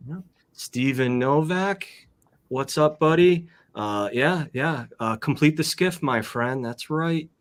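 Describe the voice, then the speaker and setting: A man's voice: on the high side, lively intonation, slow pace. A man hosting a casual livestream over a video call, friendly and rambling as he greets guests and reads out names. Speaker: host.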